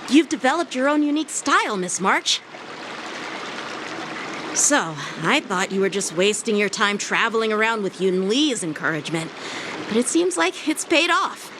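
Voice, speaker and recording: A woman's voice: on the high side, animated, normal speed, noisy background, close to an everyday voice. A woman voicing an earnest, formal young female sword instructor in an anime-style game. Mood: earnest, approving.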